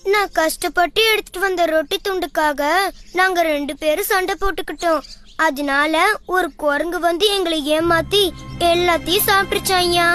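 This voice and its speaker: High, bright, bossy little girl cat. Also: normal speed, soft background music. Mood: dismayed. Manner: scolding, insistent, whiny. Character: bossy, stubborn young sister cat, quarrelsome and childlike. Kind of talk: children's animated story acting.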